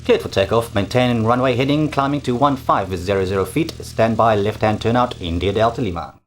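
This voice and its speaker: A man playing a pilot, reading back clearances in scripted radio-call practice. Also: fairly low pitch, a little fast, a little hiss or hum, natural voice. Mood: procedural. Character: male pilot calling the tower.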